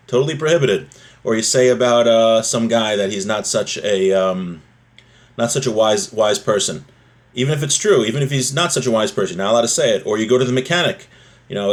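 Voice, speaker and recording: Guy teaching religion class, mid-pitched voice, chatty and explainy, keeps checking you follow. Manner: conversational, explanatory, often checks with "right?". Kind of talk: informal religious lesson, reading and explaining a text.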